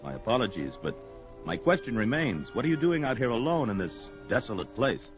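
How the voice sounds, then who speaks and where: An actor playing a young, wary, mercenary barbarian warrior with a bold, declamatory manner in an audio drama. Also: male voice, medium pitch, very animated, a little fast, close to an everyday voice. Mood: puzzled, gruff. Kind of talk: 1970s audio drama record acting. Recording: dull vintage story record with music.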